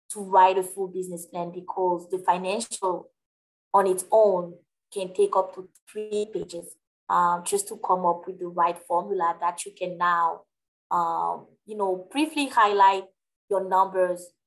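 A woman's voice: fairly low pitch, low-quality recording. A woman with a French accent, a native French speaker, answering questions in a live webinar over a video call with many fillers. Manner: explanatory, hesitant fillers, friendly. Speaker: webinar presenter. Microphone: webcam on video call.

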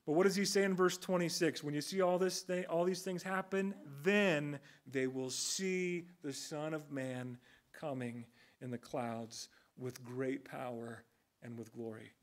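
Earnest pastor in his thirties, slightly high voice. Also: steady tone, measured pace. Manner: earnest, conversational preaching with emphatic rises. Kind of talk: expository sermon. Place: church sanctuary with a stage and band gear.

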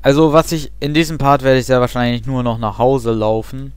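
A man's voice: medium pitch, fairly even intonation, a little fast, clean tone, no echo. Young man rambling over gameplay. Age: young adult. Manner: chatty, rambling, self-mocking asides. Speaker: let's play host. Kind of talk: casual gameplay commentary.

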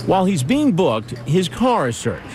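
A man's voice: high-pitched, very animated, moderate pace, over loud music. A man giving grave, scripted narration for a television crime documentary.

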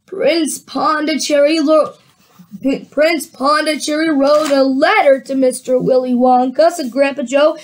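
A woman's voice: sits high, steady tone, measured pace, slight background noise, poor audio. A woman reading a children's book aloud, voicing the characters and breaking off for chatty asides and restarts.

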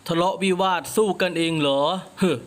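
A man's voice: fairly high pitch, fairly quick, close to an everyday voice. A man voicing an arrogant young male master who talks with mocking contempt. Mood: scornful. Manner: mocking, scornful. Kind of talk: manga recap voice acting.

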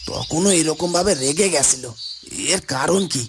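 A man's voice: a little high, a little slow, background noise. A man voicing an anxious, suspicious subject of a fairy-tale kingdom in a cartoon, with hesitant starts. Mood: puzzled, fearful. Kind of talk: dramatic cartoon dubbing.

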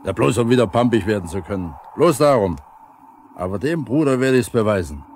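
A man's voice: fairly low pitch, expressive, fairly quick, darkish tone, close to an everyday voice. An actor playing a proud, easily offended veteran foreman, grumbling and blustering in colloquial speech in a 1970s radio drama. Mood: indignant, grumbling. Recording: faintly noisy 1970s vinyl radio play.

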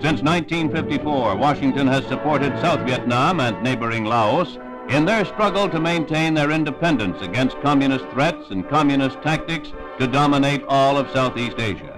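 A man's voice: mid-range voice, moderate pace, poor audio. An American man reading scripted 1960s newsreel narration in a formal, emphatic manner. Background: music.

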